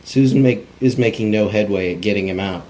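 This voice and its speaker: A man's voice: lowish voice, brisk, a little mellow, a little hiss or hum, rough recording. A man narrating script directions in a plain, unpolished cold read, stumbling now and then. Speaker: narrator reading stage directions.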